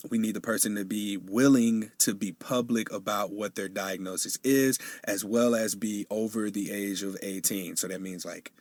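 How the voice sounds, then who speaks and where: A man with a fairly low voice hosts his own podcast, rambling casually through announcements and listener questions with plenty of ums. He sounds nasal and a bit sniffly, as if a cold is coming on. The recording is dry and close, with no room sound. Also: dry recording. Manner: casual and chatty, with frequent fillers. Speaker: podcast host. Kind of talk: solo podcast monologue.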